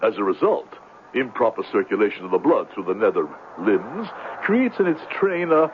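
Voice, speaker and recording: A florid swindler from a 1970s radio drama, low in pitch and sweeping grandly up and down. He is theatrical and pompous, a fallen huckster posing as a doctor. The sound is tinny. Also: sing-song, moderate pace, a little hiss or hum. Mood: grandly persuasive. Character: grandiloquent, down-on-his-luck male con man posing as doctor.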